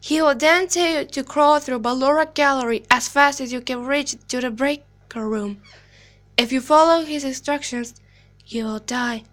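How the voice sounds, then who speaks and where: Woman voicing an eerie, high-pitched animatronic clown girl. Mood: urgent warning. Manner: slow, deliberate, hushed storytelling, dropping into whispers. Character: eerie soft-spoken animatronic girl clown, sinister and falsely sweet. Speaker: amateur voice actor. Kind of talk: video game character monologue.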